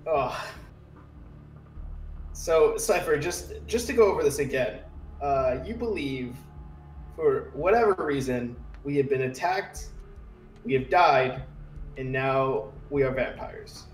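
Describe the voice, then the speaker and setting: A man's voice: medium pitch, animated, takes it slowly, pauses often. A man improvising as a player in a tabletop roleplaying game, hesitant and repeating his words. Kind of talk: improvised in-character chat and narration. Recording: echoey room, music behind.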